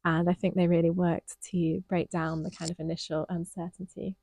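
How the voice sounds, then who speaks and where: Low croaky woman reviewing dance. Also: level tone, normal speed, a little mellow. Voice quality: slightly croaky. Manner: thoughtful, hesitant with frequent ums. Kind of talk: casual conversational review.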